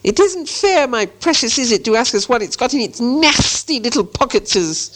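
A man voicing a slimy, hungry cave creature for a storybook, with a shrill, hissing, wheedling whine and repetitive muttering. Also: big pitch swings, moderate pace, fairly bright tone, heavily acted. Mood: upset, aggrieved. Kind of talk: storybook character voice.

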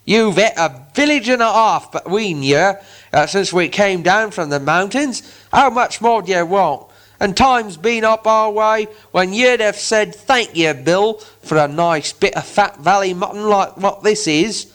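Man playing a rough loutish troll for a storybook reading, higher and louder than his own voice, rough common speech. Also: lively intonation, unhurried. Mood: grumbling. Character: big coarse male troll, gruff and greedy.

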